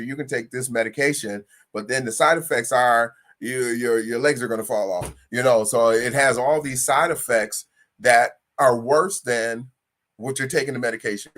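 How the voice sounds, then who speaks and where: A man of sixty hosting a talk, speaking conversationally, repeating phrases and rising in pitch to stress points. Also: fairly bright tone. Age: 60s. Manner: conversational, repeats himself, rises in pitch for emphasis. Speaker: host. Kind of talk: motivational talk.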